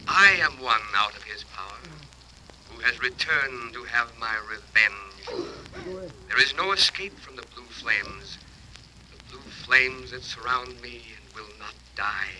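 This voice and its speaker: Through the clear noise of an old radio transcription comes a murdered man's ghost, wreathed in flame. He speaks in solemn, menacing incantations at an ordinary male pitch. The delivery is theatrical 1930s horror, relentless and bent on revenge. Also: a little slow, sharp tone, rough recording. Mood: vengeful, menacing.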